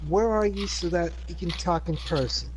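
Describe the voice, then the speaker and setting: A man playing a tabletop role-playing game, relaxed and a little hesitant. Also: high-pitched, moderate pace, slight background noise, poor audio. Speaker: player.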